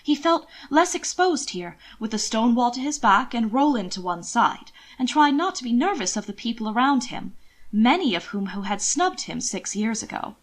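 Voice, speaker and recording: A woman's voice: on the high side, very animated, moderate pace. A woman narrating a scripted story expressively, as an audiobook reader.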